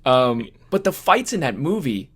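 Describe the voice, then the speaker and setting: Man, podcast co-host, informal, halting thinking-aloud delivery, conversational movie talk. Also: medium pitch, sing-song, fairly bright tone. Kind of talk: casual movie discussion podcast.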